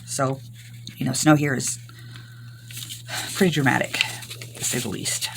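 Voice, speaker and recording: An older woman from Arkansas, hoarse from recent illness, chatting through a rambling crafting tutorial. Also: rumbling low, fairly even intonation, a little slow, a little hiss or hum, low-quality recording. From Arkansas, US South. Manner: rambling, homey, many ums. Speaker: craft channel host.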